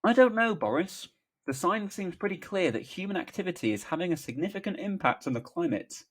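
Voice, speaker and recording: Man acting a weary, uneasy politician, raised pitch. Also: sing-song, brisk. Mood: hesitant. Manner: hesitant, reproachful. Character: reluctant uneasy male politician, weary and reasonable. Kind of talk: comic script read-through.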